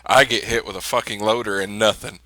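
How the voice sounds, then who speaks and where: A man's voice: lowish voice, fairly even intonation, brisk, sharp tone, no room sound, poor audio. A man bantering as a tabletop role-player, blunt, teasing and sweary. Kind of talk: casual in-character game banter.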